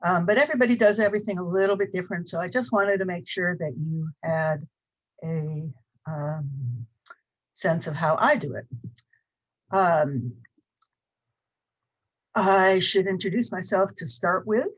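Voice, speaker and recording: A woman's voice: on the low side, measured pace, pauses often, rough recording. A woman in her sixties from Cleveland with a Northern US accent, introducing her college course in a chatty, rambling way with many fillers. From Cleveland, Ohio. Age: 60s. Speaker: college instructor. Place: small home office. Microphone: webcam at desk.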